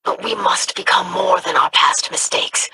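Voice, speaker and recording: A woman's voice: fairly low pitch, steady tone, moderate pace, pushed and bright, slightly rough, no room sound, exaggerated voice. A woman voicing a hopeful, polite young alien girl in a video game, speaking earnestly.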